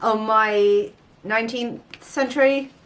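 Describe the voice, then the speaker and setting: A young woman with a clearly high-pitched voice talks casually about books. She co-hosts a vlog and speaks in an informal, conversational way. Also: steady tone, slow, fairly bright tone, slight background noise. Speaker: book vlog co-host. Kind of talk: casual book chat.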